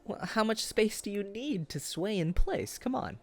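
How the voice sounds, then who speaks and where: A man playing a tipsy, earnest young man wooing his old sweetheart in a boyfriend roleplay, speaking casually and haltingly. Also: sits high, expressive. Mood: playful coaxing. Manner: halting, tender, casual, often chuckling. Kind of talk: boyfriend ASMR roleplay acting.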